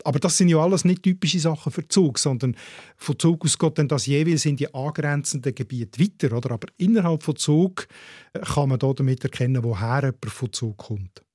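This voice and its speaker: A man with a Swiss German accent, explaining dialect features in a measured way and spelling out sounds slowly. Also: on the high side, dark tone, husky, dry recording, clean studio sound. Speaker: dialect expert.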